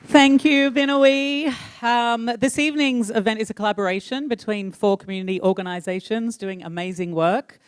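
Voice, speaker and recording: Playful forum host, smooth clear voice. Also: medium pitch, moderate pace, few pauses, some chatter behind. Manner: playful, self-mocking. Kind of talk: hosting a live forum. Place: stage in a hall.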